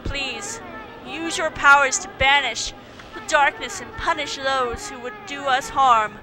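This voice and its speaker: A woman voicing a gentle female tree spirit from a video game, speaking in a formal, reverent and pleading way. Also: sits high, unhurried, a crowd in the background, low-quality recording. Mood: solemn, pleading. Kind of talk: video game cutscene voice-over, read aloud.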